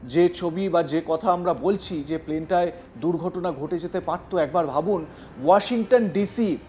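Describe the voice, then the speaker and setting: A man presenting news narration and commentary, speaking emphatically in deliberate chunks. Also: a little high, darkish tone, faint music behind.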